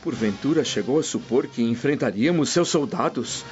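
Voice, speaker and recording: An actor playing a serene, dignified freed slave and father in a radio drama, with measured, formal speech. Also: mid-range voice, lively intonation, lightly acted. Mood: calm, dignified. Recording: dull lo-fi radio drama, music underneath. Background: soft music.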